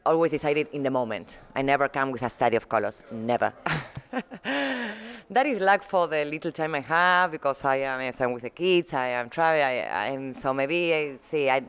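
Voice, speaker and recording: A middle-aged woman from Argentina, speaking English as an in a halting, searching way as an interview guest. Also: low voice, smooth. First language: Spanish. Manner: halting, searching for words, frequent restarts. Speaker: muralist guest. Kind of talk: casual interview conversation.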